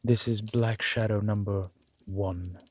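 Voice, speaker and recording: A man playing an urbane, centuries-old vampire in an audio roleplay, with formal, measured phrasing and dry condescension. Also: lowish voice, steady tone, slightly rough, no room sound. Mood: smug, fond. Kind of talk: scripted audio roleplay drama.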